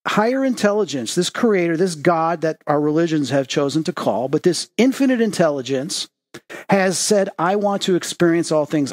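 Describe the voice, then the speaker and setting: A man's voice: fairly high pitch, darkish tone, no echo, studio quality. A man hosting a spiritual podcast, talking in an earnest, reflective way and telling personal stories. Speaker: podcast host. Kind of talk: casual spiritual podcast chat.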